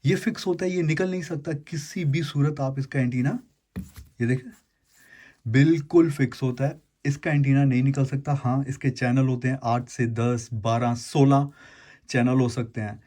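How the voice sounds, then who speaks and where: A man with a dark, soft-edged and husky voice hosts a gadget unboxing. He talks casually and informally at a medium pitch, dropping English words into his explanations. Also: fairly even intonation, moderate pace. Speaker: YouTube host unboxing and reviewing gadgets.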